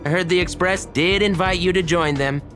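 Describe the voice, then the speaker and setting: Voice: medium pitch, normal speed, over music, natural voice. An actor playing a condescending, teasing research colleague in a video game, chatty and scoffing with exaggerated delivery. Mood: smug, pitying. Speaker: researcher character. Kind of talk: animated video game dialogue.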